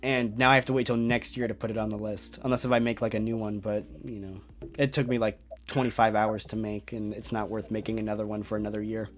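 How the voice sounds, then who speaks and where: Excitable man hosting a toy livestream. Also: lowish voice, fast talker, faint music behind. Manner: enthusiastic, rambling, many fillers and restarts. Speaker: host of a toy collecting livestream. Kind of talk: casual livestream chat.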